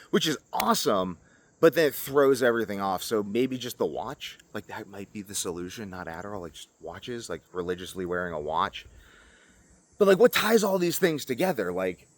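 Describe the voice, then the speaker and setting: A man's voice: low-pitched, lively intonation, normal speed, slight background noise. A man in his twenties talking casually and unscripted to a camera as a vlogger, rambling from one tangent to another. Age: 20s. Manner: rambling, jumping between tangents. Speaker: video creator.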